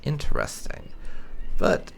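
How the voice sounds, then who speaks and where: A man doing roleplay commentary on a game playthrough, musing and hesitant with hums and pauses. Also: a little hiss or hum. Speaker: gaming video host.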